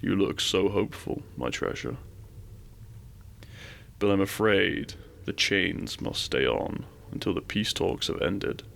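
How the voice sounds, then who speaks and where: A man playing an imperious, possessive dragon king in a romantic fantasy audio roleplay, speaking with formal, commanding courtesy and a gravelly voice. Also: sits low, expressive, a bit bright, heavily acted. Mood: formal, courting. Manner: formal, commanding, deliberate menace with seductive courtesy.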